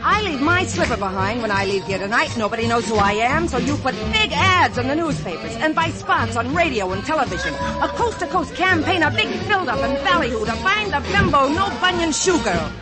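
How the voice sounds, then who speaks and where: An actress playing a brash, scheming young New York Cinderella, slangy and wisecracking, in 1950s radio comedy style. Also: female voice, high-pitched, very animated, a little fast, few pauses. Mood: urgent, excited. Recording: vintage radio recording, loud music behind. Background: music.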